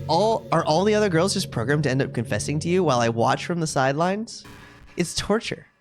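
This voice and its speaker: A man's voice: a little high, lively intonation, brisk, close to an everyday voice. A man voicing a sweet, possessive, self-aware schoolgirl from a video game in a chatty, cutesy, confiding way. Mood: lonely pleading. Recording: music playing behind the voice. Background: game music.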